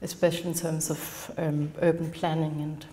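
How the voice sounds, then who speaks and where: A woman from Denmark with a Danish accent, giving an academic conference talk in a measured manner, partly reading from a prepared text. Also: low-pitched, little pitch movement, warm tone. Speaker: conference speaker. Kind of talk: academic lecture. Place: large darkened lecture hall.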